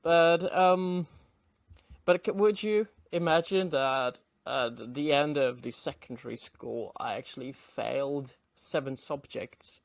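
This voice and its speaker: A man whose first language is Norwegian hosts a casual interview, speaking thoughtfully and sometimes hesitating. His voice sits a little high and carries a gravelly edge, with no room sound around it. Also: takes it slowly, dry recording. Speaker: host. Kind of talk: casual conversation.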